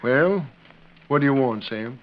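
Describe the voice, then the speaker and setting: A man's voice: mid-range voice, lively intonation, unhurried, close to an everyday voice. A man as a weary rancher, muffled old radio. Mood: guarded. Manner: plain-spoken. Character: weary, guarded rancher father. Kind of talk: old-time western radio acting. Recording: muffled, noisy vintage radio recording.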